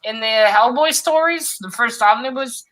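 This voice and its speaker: A woman's voice: fairly high pitch, normal speed, a bit bright, poor audio. A woman co-hosting a casual book discussion on a live stream, talking informally and jokingly. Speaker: co-host of a literary read-along stream.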